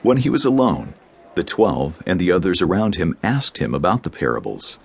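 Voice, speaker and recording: Male narrator for dramatized scripture: low, gravelly, solemn storytelling. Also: sing-song, moderate pace.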